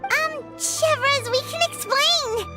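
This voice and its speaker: A woman voicing a small childlike fairy companion in a video game, eager, exclaiming and squeaky. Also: measured pace. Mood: nervous. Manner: squeaky, exclaiming, speaks of self in third person. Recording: background music under voice.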